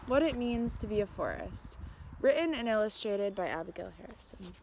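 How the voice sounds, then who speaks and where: A young adult woman reading a picture book aloud outdoors, with a measured, expressive storytelling delivery. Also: mid-range voice, creaky, slight background noise. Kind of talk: storybook read-aloud, narrated as a tree. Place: outdoors in snowy winter woods.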